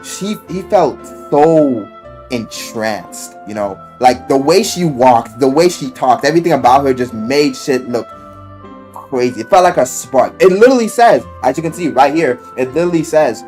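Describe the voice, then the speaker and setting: Animated, slangy man over music. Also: mid-range voice, moderate pace. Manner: slangy, profane, rambling, emphatic. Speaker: YouTuber reviewing a visual novel. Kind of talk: casual, loosely scripted video commentary.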